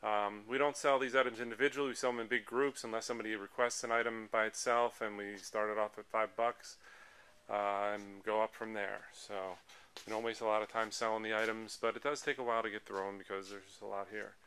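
Casting: American male auction presenter, bright, forceful tone with some fry, informal running commentary, shot handheld in a noisy indoor hall. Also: lowish voice, little pitch movement, fairly quick. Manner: rambling item-by-item listing while walking. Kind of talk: casual off-the-cuff walk-through commentary. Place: large indoor auction hall full of tables. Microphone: handheld camera, held by speaker behind it. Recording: handheld camera audio with clear background noise.

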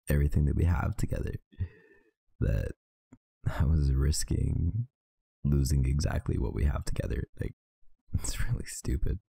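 A tired man talking softly and intimately, close to the mic, in an affectionate boyfriend roleplay. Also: a bass voice, creaky, husky, no room sound. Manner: soft, intimate, affectionate murmuring. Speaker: ASMR roleplay performer.

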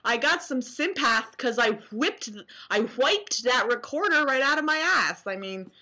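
A young American woman reacting casually to comments she reads aloud, sarcastic and animated, at home on a webcam mic. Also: high voice, a little slow. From New Jersey, US. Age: young adult. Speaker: vlogger. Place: small room at home, indoors.

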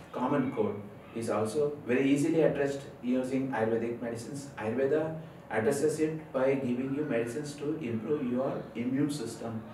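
Echoey doctor from India, explaining. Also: male voice, mid-range voice, darkish tone. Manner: earnest explaining with frequent uh fillers, gesturing with hands. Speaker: Ayurveda physician. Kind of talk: health talk to camera. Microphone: lapel mic. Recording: very echoey room, distant mic, faint noise.

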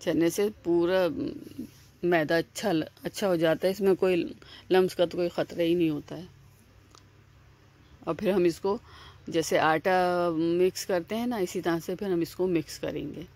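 A woman's voice: lowish voice, steady tone, measured pace, a little mellow. A woman hosting a home cooking video, explaining each step in a chatty, repetitive way with frequent fillers and restarts. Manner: chatty, repeats herself, many "aa" fillers and restarts. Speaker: cooking channel host. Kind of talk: casual step-by-step cooking instructions.

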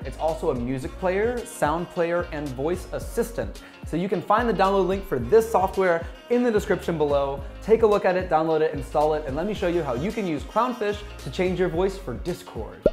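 Upbeat higher-voiced man presenting a tutorial. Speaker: software review presenter. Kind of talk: scripted tutorial narration. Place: small bright office, indoors. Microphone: lapel mic. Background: background music.

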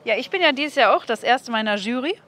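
A woman's voice: fairly high pitch, lively intonation, fast talker, fairly bright tone, slight background noise. A woman in her thirties chatting casually in an interview, speaking outdoors into a clip-on mic. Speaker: interviewer, hobby aquarium video creator. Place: outdoors beside an expo building. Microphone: clip-on wireless mic on the chest.

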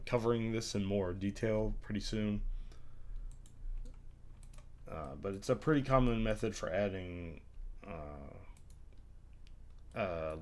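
Low-voiced congested man explaining software. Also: slight background noise. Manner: hesitant, many "uh" fillers. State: strained, congested voice from illness. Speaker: tutorial creator. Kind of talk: screen-recorded software tutorial.

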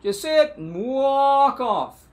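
Man acting shady, breezy crook, very animated pitch. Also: slow, exaggerated voice. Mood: breezy, confident. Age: middle-aged. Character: shady, casually confident man, petty-thief accomplice. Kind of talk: improvised soap-opera acting.

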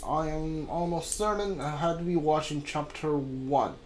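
A man streaming a video game with casual, halting, exasperated commentary. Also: fairly high pitch. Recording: clear background noise.

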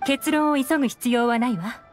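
A woman playing a composed, shrewd young female operative in a video game, unhurried and slightly teasing. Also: high voice, lightly acted. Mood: calm, teasing. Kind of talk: Japanese video game voice acting. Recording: game music behind the voice.

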